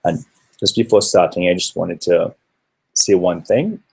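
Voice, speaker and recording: Deep husky-voiced man from Trinidad presenting. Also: measured pace, warm tone, rough recording. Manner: earnest, explanatory, with frequent fillers. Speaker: researcher presenting a talk. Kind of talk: conference presentation.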